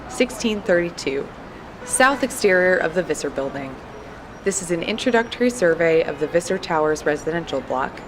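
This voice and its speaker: A noisy field tape with clicks carries an actress as a diligent young female researcher. Her slightly low voice is matter-of-fact, polite and inquisitive, in audio drama style. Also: natural voice.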